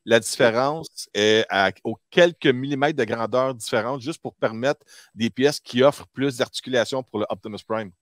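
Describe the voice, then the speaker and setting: A man's voice: medium pitch, normal speed, no echo. Male host, Quebec French accent, colloquial storyteller, close dry sound, mid-range voice. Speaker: talk show host. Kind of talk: casual group chat about nostalgia and toys.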